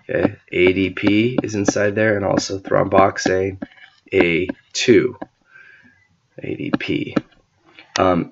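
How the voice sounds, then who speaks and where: A man who is sick and losing his voice gives an informal whiteboard lecture, often clearing his throat and using filler words. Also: low voice, muffled recording. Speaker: teacher explaining a medical topic.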